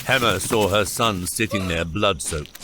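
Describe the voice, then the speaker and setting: A man giving measured, scripted dramatic narration as the narrator of an epic fantasy audiobook. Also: deep voice, pushed and bright. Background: prominent music.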